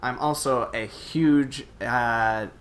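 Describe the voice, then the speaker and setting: A man's voice: medium pitch, slow, a bit bright. A man co-hosting a casual podcast discussion, speaking in an opinionated, emphatic way. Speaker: podcast co-host. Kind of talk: casual chat about games and movies.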